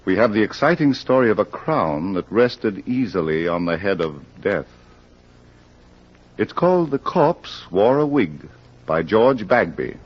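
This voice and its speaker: An actor playing a genial crime club librarian, announcing politely and measuredly in old radio style. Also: male voice, on the low side. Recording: muffled, faintly noisy vintage radio recording.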